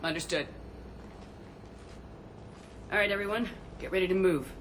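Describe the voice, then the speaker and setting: A man playing a resolute, compassionate military commander in a video game, speaking in a terse, serious, steady way. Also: high voice, normal speed. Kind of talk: video game cutscene acting. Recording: clear ambient noise behind voice.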